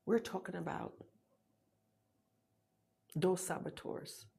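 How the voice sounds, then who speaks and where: A middle-aged Jamaican woman speaks to her live audience with a low, warm, husky voice. She presses her point over and over, sometimes sinking into an intense whisper. Her delivery is an urgent motivational pep talk. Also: takes it slowly. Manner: urgent, repetitive, drops to whispers for emphasis.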